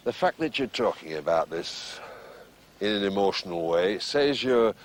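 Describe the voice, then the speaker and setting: An actor playing a calm older mentor, measured and patient. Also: male voice, on the low side, slight background noise, lightly acted. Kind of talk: TV drama dialogue.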